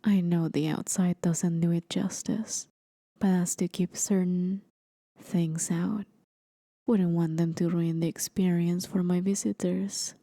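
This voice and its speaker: ASMR roleplay demon guide, female, acted by a woman: playful and coaxing, soft breathy half-whisper, slightly low pitch, very close and dry. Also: steady tone, a little slow, dry recording. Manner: teasing, coaxing, gently theatrical. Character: playful, courteous red-skinned horned demon woman, forest guide. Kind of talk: ASMR roleplay, scripted acting.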